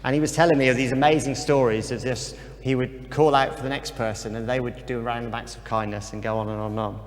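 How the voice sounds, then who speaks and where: A man gives an informal, earnest talk about faith and kindness. He speaks at a middle pitch in a loose, unhurried way, often pausing on "um". His voice carries a long reverb from a big hall. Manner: relaxed, many ums, earnest.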